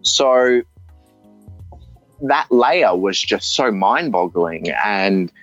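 A man's voice: fairly low pitch, expressive, fairly bright tone. A man in his thirties with an Australian accent, giving an earnest personal testimony, often restarting his sentences. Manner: halting, earnest, with frequent restarts. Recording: dull, dry recording with faint music.

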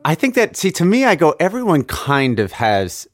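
A man co-hosting a casual podcast, probing with questions and thinking out loud. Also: fairly high pitch, wildly swooping pitch, moderate pace. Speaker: podcast co-host. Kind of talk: casual chat.

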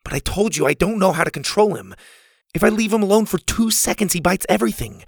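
A man voicing an anxious, defensive boy in a storybook, pleading and exasperated in a hurried way. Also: sits high, expressive, gravelly, slightly rough, no echo, studio quality, voice pushed up, heavily acted.

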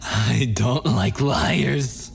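An actor playing a possessive, obsessive and menacing young boyfriend in an intimate ASMR audio roleplay, slipping into breathy whispers. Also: male voice, medium pitch, drawn-out words, soft background music, full character voice. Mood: indignant, pleading.

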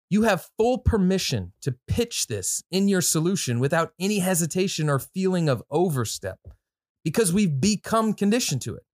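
A man's voice: mid-range voice, no room sound, clean studio sound. A man hosting a podcast, giving casual product commentary with informal, slangy asides and some reading from notes. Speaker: podcast host.

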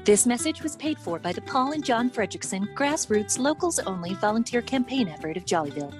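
A polished radio-ad announcer, played by a woman in a radio play. Her voice trails off into a long reverb. Clear music plays underneath. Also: a little high, lively intonation, fairly quick, natural voice. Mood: smooth. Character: smooth female radio-ad announcer.

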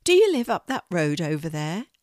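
A woman voicing a calm, sensible girl in a storybook, with a practical manner. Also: lowish voice, moderate pace, no room sound, studio quality.

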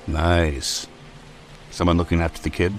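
A deep, deadpan soldier. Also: male voice, unhurried, faint music behind. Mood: sardonic. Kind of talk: old-time radio drama acting.

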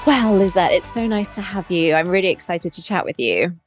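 A woman's voice: on the low side, expressive, fairly quick, faint music behind. A woman hosting a podcast, reading an introduction and asking upbeat interview questions. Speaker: podcast host.

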